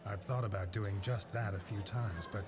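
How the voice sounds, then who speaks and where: An actor playing a gruff, weary male monster hunter in a game cutscene, speaking in a terse, dry way. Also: fairly low pitch, moderate pace, a loud crowd, natural voice. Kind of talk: video game cutscene acting.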